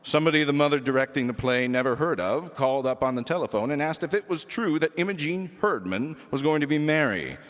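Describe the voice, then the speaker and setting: A man reading a children's story aloud as lively scripted narration. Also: a little high, brisk, hardly any pauses. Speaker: storybook reader. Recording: echoey hall reverb with music.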